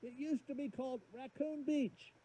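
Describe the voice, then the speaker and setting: An actor playing an impatient small-town radio host in a video game, talking in curt, dismissive asides. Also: male voice, extremely high pitch, fairly even intonation, normal speed, warm tone, slight background noise. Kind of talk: game character dialogue.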